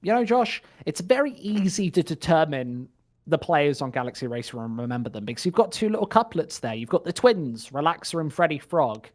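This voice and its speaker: A man's voice: on the high side, very animated, a little fast. A man doing live play-by-play esports commentary, joking and wry in his banter. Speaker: esports caster.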